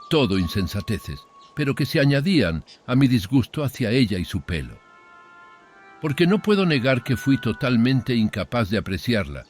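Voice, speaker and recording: A man's voice: medium pitch, lightly acted. An actor playing a bitter, brooding older father, telling a horror story in a solemn, ominous, literary manner. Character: brooding, bitter older father recounting his son's marriage. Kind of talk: dramatic horror audiobook narration.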